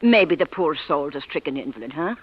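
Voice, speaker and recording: Hesitant, folksy old fellow, lively pitch. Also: male voice, a little fast. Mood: hesitant, uneasy. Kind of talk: 1940s-50s radio drama acting. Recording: muffled, echoey vintage radio recording.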